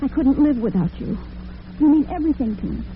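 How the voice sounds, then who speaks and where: A woman's voice: high-pitched, animated, fairly quick. An actress playing a devoted, worried young working woman in old-time radio drama, earnest and tender. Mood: tender, reassuring. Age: young adult. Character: devoted young waitress-cashier daughter, worried but brave. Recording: noisy, tinny vintage radio recording.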